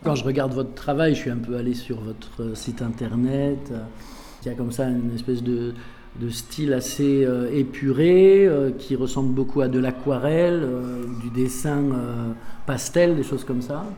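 A woman's voice: extremely low pitch, normal speed, warm tone, slight background noise. A woman interviewing a guest for radio, asking questions and searching for her words. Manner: searching, asks questions with hesitations.

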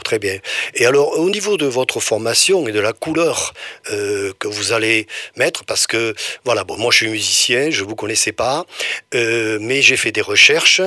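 A man's voice: mid-range voice, sharp tone, dry recording, clean studio sound. A man interviewing a singer, asking long, rambling questions with many fillers. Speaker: radio interviewer.

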